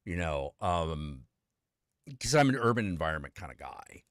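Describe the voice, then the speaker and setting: A man hosting a casual chat, rambling and lively, laughing easily. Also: deep voice, normal speed, no room sound, studio quality. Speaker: host.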